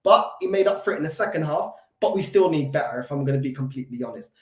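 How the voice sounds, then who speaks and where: A man's voice: on the high side, lively intonation, quick pace. A man with a London accent hosts a solo football fan reaction. He is recovering from an illness, so his voice is not at full strength, and he talks emphatically, with fillers and repeated restarts. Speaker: football fan channel host. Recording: echoey room.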